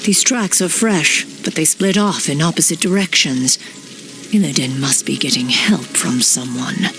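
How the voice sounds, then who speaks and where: A resolute female elf commander in a video game cutscene. She speaks gravely and with authority, stern and relentless. Music plays behind her. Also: sits low, measured pace. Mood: commanding.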